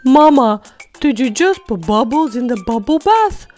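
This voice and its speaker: A woman voicing a bratty, excitable superhero baby boy in repetitive baby talk for children's toy role-play. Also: high voice, moderate pace, soft background music. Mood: delighted. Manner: whiny, taunting baby talk with repeated phrases.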